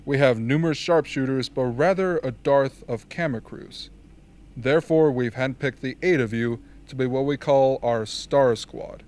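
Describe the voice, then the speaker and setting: A man's voice: medium pitch, a little mellow, natural voice. Man acting a formal, commanding rebel strategist. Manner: formal, measured, authoritative. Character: commanding, dryly formal rebel military strategist briefing soldiers. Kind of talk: amateur radio drama acting.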